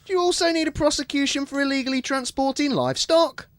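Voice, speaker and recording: A man's voice: extremely high pitch, quick pace, fairly bright tone, no echo. A man playing an apologetic, rule-bound young airport worker in a radio comedy, polite but officious. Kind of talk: spoof docu-soap radio comedy acting.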